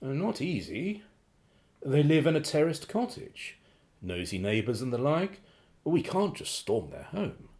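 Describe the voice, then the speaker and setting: An actor in a radio play voicing a deferential but scheming fixer with criminal contacts. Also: male voice, fairly high pitch, big pitch swings, a little slow, a little mellow. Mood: uneasy, deferential.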